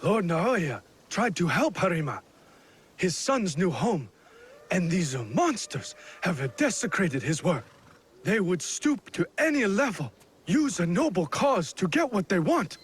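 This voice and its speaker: An actor playing an anxious, deferential Japanese lord in a video game, with a breathy, husky voice and formal, stammering speech. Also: male voice, high-pitched, very animated, measured pace, fairly bright tone, slight background noise, heavily acted. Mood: indignant. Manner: stammering, formal, eager to please. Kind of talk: video game cutscene acting.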